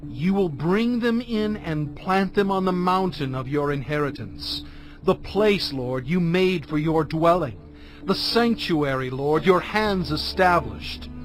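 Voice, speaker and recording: A measured, higher-voiced fervent prophet. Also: male voice, animated, soft background music. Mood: reverent, awed. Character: Moses, fervent reverent male prophet and leader. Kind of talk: dramatized scripture recitation.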